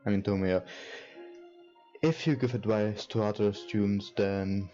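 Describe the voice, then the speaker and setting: Man, deep mellow voice, halting read-aloud, casual let's play commentary, music behind. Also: fairly even intonation, muffled recording. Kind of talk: reading game text aloud with casual commentary. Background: game music.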